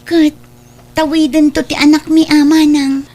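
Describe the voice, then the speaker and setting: A woman's voice: high voice, level tone, measured pace, dark tone. A woman playing a hardworking, ambitious younger sister and wife in a family radio drama, earnest and respectful. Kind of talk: Ilocano radio-style family drama acting.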